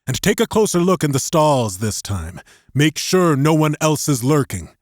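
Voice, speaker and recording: Casting: hard-edged male resistance fighter acted by a man, cold and sharp, suspicious, used to giving orders, dry studio audiobook. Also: on the high side, big pitch swings, moderate pace, no echo, voice pushed up. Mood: commanding.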